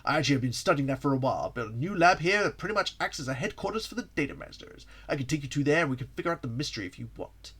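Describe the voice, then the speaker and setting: A man voicing an eager, excitable young boy fox sidekick from a fan-written story. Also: on the high side, racing, hardly any pauses, husky. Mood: eager, excited.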